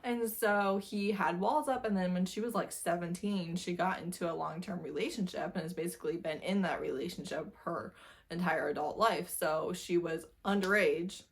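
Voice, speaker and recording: A woman's voice: fairly low pitch, moderate pace. A woman casually reviewing books as a video host, chatty and informal, leaning on repeated phrases for emphasis. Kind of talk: casual book review chat to camera.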